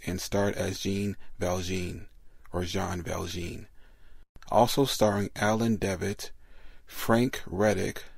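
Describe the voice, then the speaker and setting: A man's voice: deep voice, creaky, a little mellow, slightly rough, no room sound. A man hosting a podcast, introducing a program at a close microphone. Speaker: podcast host.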